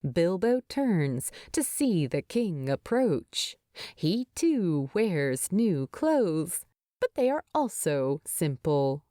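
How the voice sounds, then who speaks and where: Female audiobook narrator: mellow tone, very varied intonation, expressive scripted storytelling with deliberate phrasing. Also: fairly low pitch, no echo, studio quality.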